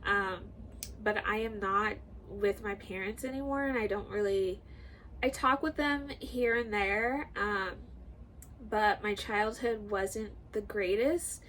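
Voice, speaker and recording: A woman's voice: medium pitch, slow pace, fairly bright tone, slight background noise, poor audio. A young woman in her twenties, nasal and congested, chatting casually in a sit-down vlog and rambling with lots of fillers. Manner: chatty, rambling, full of likes and ums. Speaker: YouTuber. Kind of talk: sit-down get to know me vlog.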